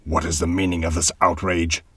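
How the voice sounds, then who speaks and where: A man voicing an indignant gambler count in a storybook reading, blustering and loud. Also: low-pitched, flat delivery, fairly quick, slightly rough, dry recording.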